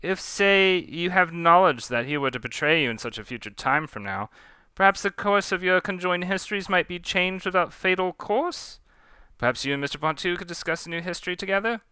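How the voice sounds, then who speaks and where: Man playing earnest, high-pitched, deferential ship's officer. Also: lively intonation, fairly quick, sharp tone, smooth, dry recording. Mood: pleading. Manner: hesitant, formal, wordy.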